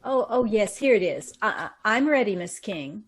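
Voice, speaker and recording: A woman's voice: medium pitch, expressive, normal speed, lightly acted. A woman playing a nervous, polite young job seeker in an old-time radio horror play, hesitant and stammering. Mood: hesitant, uneasy.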